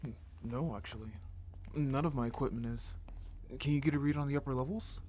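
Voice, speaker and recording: An actor playing a reckless, excitable young rebel brother in a sci-fi audio drama, with casual, expressive, slangy delivery. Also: male voice, medium pitch, brisk, natural voice. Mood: concerned. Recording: clear background noise, ambience.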